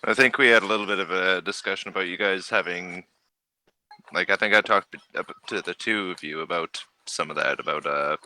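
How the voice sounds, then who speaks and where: A man's voice: low voice, a little fast, bright, forceful tone, poor audio. A man running a tabletop game, chatting casually with his players as game master.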